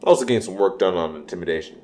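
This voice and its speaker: A man's voice: deep voice, lively intonation, racing, a little mellow. A man playing a cocky, wisecracking vigilante superhero in an audio drama, with smug, dry banter.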